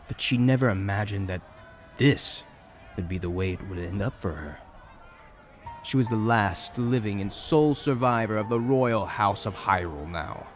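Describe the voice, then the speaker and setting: A man narrating a scripted fantasy audio drama in a dramatic storytelling style. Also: on the low side. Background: music.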